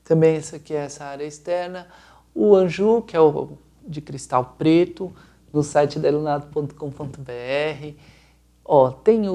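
Gravelly, casual Brazilian man explaining. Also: fairly high pitch, a little mellow. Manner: casual, rambling, searching for words aloud. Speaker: lighting designer presenting a project video. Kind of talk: informal explanatory presentation.

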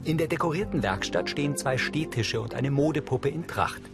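Measured male narrator over music. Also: a little high, lively intonation, vocal fry. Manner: measured, matter-of-fact. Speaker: audio description narrator. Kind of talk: scripted narration of a TV film for blind listeners. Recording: music bed behind the voice.

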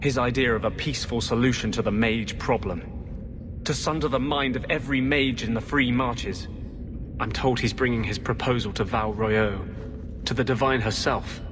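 An earnest rebel mage from a fantasy game, played by a man, intense and bitter. His voice sits at a middle pitch, with clear ambience noise behind it. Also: moderate pace, natural voice. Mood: earnest, urgent.

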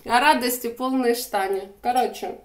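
A young woman with a Ukrainian accent rambles through a shopping haul in a fairly high voice, chatty and quick to laugh. She talks into a phone held at arm's length in a small room, and the sound bounces around with a noticeable echo. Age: young adult. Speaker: vlogger. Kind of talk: casual shopping-haul chat. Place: small room indoors by a window. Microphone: phone camera at arm's length. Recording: echoey room.

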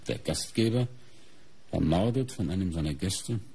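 An actor playing a sober, methodical middle-aged police inspector in a radio crime play, raspy and measured as he reasons aloud. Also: low voice, lively intonation, mellow, heavily acted. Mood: matter-of-fact. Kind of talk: German radio crime drama acting.

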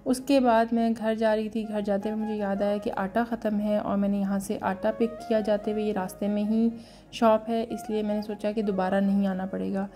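A woman in her thirties tells her day in a relaxed voice-over, pausing often with soft fillers. Her tone is warm and dark, with very little rise and fall. A faint music bed plays beneath her. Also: mid-range voice. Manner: calm, unhurried, frequent fillers, mixes in English words. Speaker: vlogger, mother.

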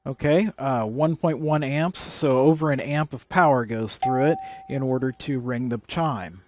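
A man's voice: medium pitch, unhurried, background noise. A man presenting a hands-on electronics how-to, explaining things plainly and step by step. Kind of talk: hands-on tutorial demonstration.

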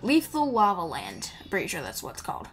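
A woman's voice: fairly low pitch, huge pitch swings, fast talker, creaky, fairly bright tone. A woman giving casual, improvised gameplay commentary in a playful, chatty way. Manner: chatty, playful, mumbles asides to the game character. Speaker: gamer hosting a playthrough. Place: indoor voice-over recorded over game audio. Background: video game music.

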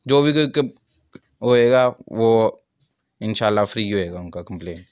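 A man's voice: lowish voice, expressive, a little slow, smooth, muffled recording. A man in his thirties with a Pakistani accent, a shop owner explaining products and prices in an interview. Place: small crowded furniture shop, indoors. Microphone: handheld microphone held by interviewer.

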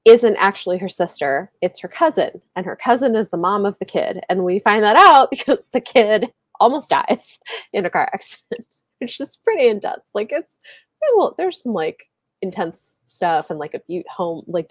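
A woman's voice: fairly low pitch, lively intonation, moderate pace, no echo. A woman in her thirties chatting casually about books on a video call, hedging as she goes and often saying "like". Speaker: book channel host. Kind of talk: casual book discussion on a live video call. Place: small indoor room with bookshelves. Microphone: earbuds on a video call.

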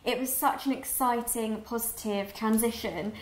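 A woman's voice: a little high, steady tone, normal speed. A young British woman in her twenties, chatty and upbeat, explaining a recipe step by step as she cooks in a home kitchen. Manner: upbeat, chatty, talks while cooking. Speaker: cookbook author and guest cook. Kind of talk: cooking demonstration chat. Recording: roomy kitchen with music behind.

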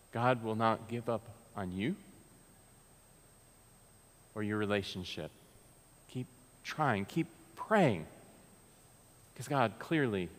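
An American man in his thirties, preaching from a pulpit in a large church sanctuary in an earnest, conversational way with frequent restarts. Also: lowish voice. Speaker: pastor. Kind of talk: sermon. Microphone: pulpit mic, far camera. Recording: long church reverb.

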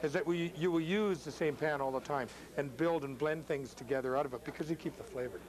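A man's voice: fairly high pitch, fast. A middle-aged man hosting a cooking demonstration as a chef, chatting casually in a jokey way. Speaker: cooking show host, chef. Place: restaurant bar room, indoors. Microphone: lapel.

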